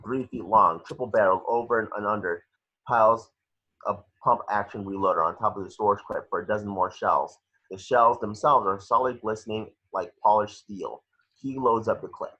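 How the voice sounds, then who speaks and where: Male script narrator, lower-pitched and husky, muffled home recording, slightly halting read of stage directions. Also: pushed and bright. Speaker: reader of action descriptions. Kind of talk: screenplay narration at a table read.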